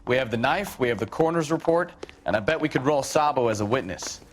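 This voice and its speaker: A man's voice: mid-range voice, fast, a little hiss or hum, close to an everyday voice. An American man playing a blunt, wry, eager 1940s police detective's partner in a video game. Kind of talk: dramatic video game acting.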